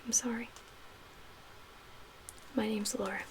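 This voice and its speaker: Woman acting as calm female camp leader, soft whispery voice, higher pitch, gentle ASMR roleplay, noisy ambient background. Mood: soothing, then calm, cautioning. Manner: gentle, measured, hushed. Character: calm woman leading a zombie-apocalypse survivor camp. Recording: clear background ambient noise.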